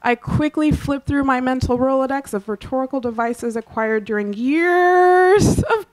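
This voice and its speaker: Woman from Kansas, first language Urdu, reading her own essay. Slightly high voice, dry humor, clears her throat often. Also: measured pace, a little mellow. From Kansas, USA. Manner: reads wryly with offhand asides and frequent throat clearing. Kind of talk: reading aloud a personal essay at a book launch. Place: live literary event.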